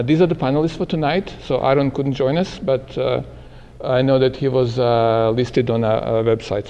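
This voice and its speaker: A man moderating a panel at a live event, speaking haltingly with many filler sounds. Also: mid-range voice, darkish tone, dull audio. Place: meeting room at an event. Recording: echoey hall with long reverb.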